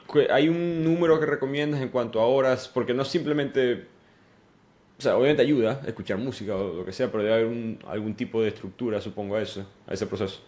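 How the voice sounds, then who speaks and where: A man's voice: medium pitch, brisk, a little mellow. A man hosting a podcast interview who talks reflectively and poses questions to his guest. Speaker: podcast host.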